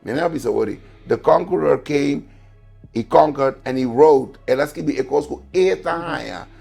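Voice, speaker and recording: Man, gravelly and husky, emphatic guest writer on a talk show, faint music under. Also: a little high, moderate pace, mellow. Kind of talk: studio talk show discussion.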